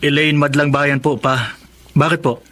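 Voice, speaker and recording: High-pitched playful young Filipino man. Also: steady tone, a little slow, fairly bright tone. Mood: cheerful. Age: young adult. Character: playful, confident young man, boss's son. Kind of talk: Filipino radio drama acting.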